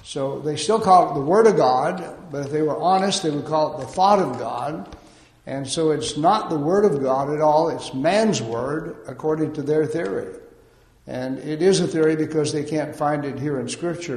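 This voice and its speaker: A man preaching a sermon in a measured way, pausing often on fillers. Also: a little high, a little mellow. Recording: echoey hall.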